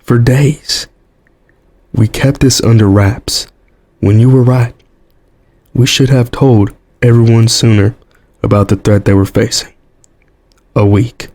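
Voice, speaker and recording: An actor playing a weary, guilt-ridden American president in an audio drama, grave and resolute, with an American accent. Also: male voice, mid-range voice, steady tone, slow pace, pauses often, a little mellow. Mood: regretful, bitter.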